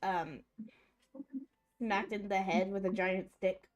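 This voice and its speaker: A woman's voice: mid-range voice, fairly even intonation, slow. A young woman on a livestream, chatting casually and rambling with many fillers. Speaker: streamer. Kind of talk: casual chat.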